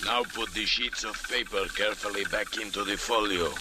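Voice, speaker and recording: A hissy old radio recording carries a low-voiced male henchman, played by an actor. He is coolly menacing and gives ornate, stilted commands. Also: fairly even intonation, normal speed, natural voice. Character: menacing, formally spoken knife-wielding henchman in Cairo. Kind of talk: 1940s radio acting.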